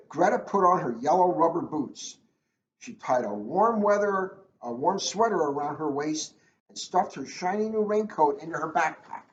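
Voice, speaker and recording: A man reading a children's book aloud as a police officer, chatting and joking at his own expense in casual asides. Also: high voice. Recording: echoey room, dull lo-fi, faint noise.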